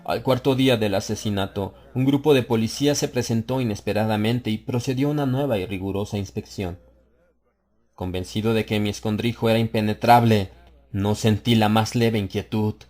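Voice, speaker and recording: A man reading a horror story as an audiobook narrator, theatrical, building tension with swells in pitch and loudness. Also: a little fast, faint music behind. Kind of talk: dramatic scripted narration.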